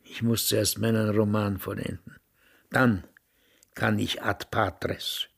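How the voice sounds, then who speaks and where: An actor playing a nostalgic, muddled old former military man in a radio drama, grumbling in halting, broken phrases. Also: on the low side, flat delivery, a bit bright, heavily acted. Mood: wistful, brooding. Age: older. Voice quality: raspy, gravelly.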